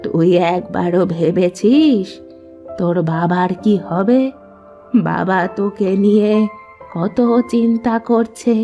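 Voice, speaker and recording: A woman voicing a caring old village granny in a cartoon, with a slow, drawn-out way of talking. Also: mid-range voice, warm tone, soft background music. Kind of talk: cartoon dialogue acting.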